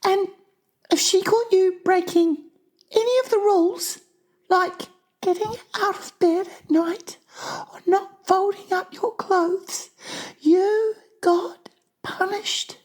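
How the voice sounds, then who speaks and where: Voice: very high voice, fairly even intonation, takes it slowly, darkish tone, pitched above the performer's own voice, rough recording. A performer voicing an earnest, plaintive little orphan girl in a children's audiobook, polite and plain-spoken. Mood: distressed.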